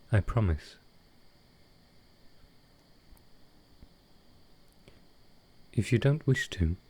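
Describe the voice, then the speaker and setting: Slow, low-voiced gentle vampire lord played by a man. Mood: tender, reassuring. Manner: soft-hearted, hesitant, tender. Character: gentle, grieving male vampire lord comforting a guest. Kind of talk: slow, intimate audio roleplay acting.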